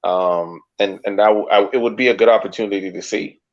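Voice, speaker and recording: A man's voice: on the low side, fairly even intonation, a little fast. A man on a casual sports podcast panel, talking in a hesitant, reflective way on slightly dull audio.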